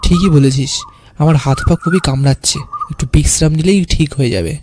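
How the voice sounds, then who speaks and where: A man playing a carefree, stubborn young city poet who tells his own tale in an animated horror story. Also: a little high, brisk, background noise, natural voice. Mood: relaxed. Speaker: narrator and lead character. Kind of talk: first-person story narration and cartoon dialogue.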